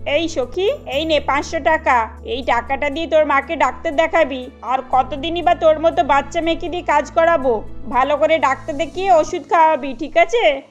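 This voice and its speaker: A woman's voice: sits high, normal speed, dull audio, soft background music, natural voice. A woman voicing adult women in a cartoon, speaking with emphasis. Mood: scolding. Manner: emphatic, scolding. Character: adult women: scolding employer and worried mother. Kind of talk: Bengali cartoon dubbing.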